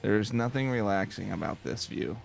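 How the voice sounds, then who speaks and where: A man hosting a video game let's play, giving calm, casual commentary and talking to himself as he plays. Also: on the low side, fairly quick, gravelly, faint music behind. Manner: casual, talks to himself while playing. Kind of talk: calm, slow-paced gameplay commentary.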